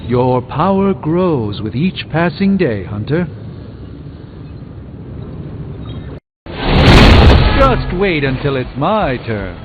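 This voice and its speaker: Confident wizard superhero, dry wit, theatrical diction, very varied pitch, muffled game audio, music behind. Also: male voice, sing-song, unhurried. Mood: commanding, confident. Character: erudite, confident, dryly witty male sorcerer superhero. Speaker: video game voice actor. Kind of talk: scripted video game dialogue.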